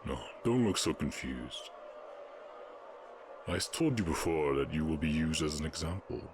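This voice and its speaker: A man voicing a stern, imposing orc warchief in a fantasy audio roleplay, speaking in deliberate, imperious proclamations. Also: rumbling low, expressive, noisy background. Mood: solemn proclaiming.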